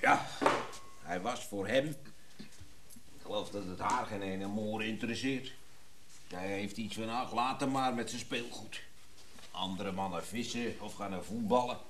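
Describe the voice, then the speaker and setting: An actor playing a grumbling, dedicated tinkerer in a naturalistic radio drama. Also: male voice, lowish voice, measured pace, long pauses, a bit bright, reverberant, slight background noise. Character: grumbling, dedicated male tinkerer restoring a mechanical instrument.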